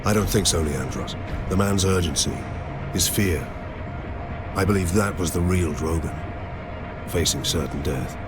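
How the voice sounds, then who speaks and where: An actor playing a steadfast space marine captain in a video game, grave, measured and commanding. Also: male voice, sits low. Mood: grave, resolute. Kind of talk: video game cinematic acting. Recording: loud music over the voice.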